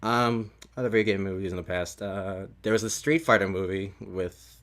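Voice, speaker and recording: A man hosting a casual podcast chat, talking in a halting way and swearing freely. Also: low voice, steady tone, fairly bright tone. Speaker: podcast host.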